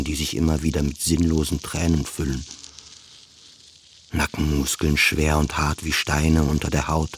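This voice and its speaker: A man's voice: a bass voice, a little mellow, a little hiss or hum. An actor playing a depressed, self-doubting man who narrates his own story in a subdued, confessional radio play style. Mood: exhausted, bleak. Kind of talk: introspective first-person radio play narration.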